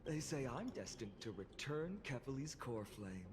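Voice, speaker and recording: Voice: mid-range voice, big pitch swings, moderate pace, background noise, close to an everyday voice. An actor playing a grand, theatrical self-styled hero in a video game, dramatic and declamatory. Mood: theatrical bravado. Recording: game music over voice.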